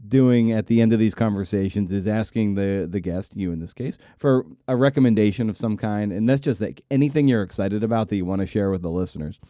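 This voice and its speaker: Male interviewer, low smooth voice, casual and self-deprecating, recorded dry. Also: a little fast, few pauses, mellow, no echo. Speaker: podcast host, interviewer.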